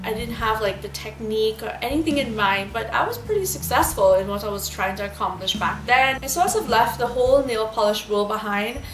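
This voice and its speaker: A woman's voice: fairly high pitch, animated, fairly quick, few pauses, reverberant, over music, poor audio. A woman in her thirties with a Trinidadian accent, telling a rambling personal story in a casual vlog, full of "like" and "you know.". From Trinidad and Tobago. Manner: chatty, rambling, many fillers. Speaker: beauty vlogger.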